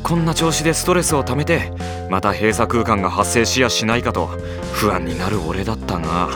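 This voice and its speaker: A man playing a put-upon high-school boy, a dry, grumbling straight man in an anime comedy audio drama. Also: expressive, a little fast, over music, close to an everyday voice. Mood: wry, weary.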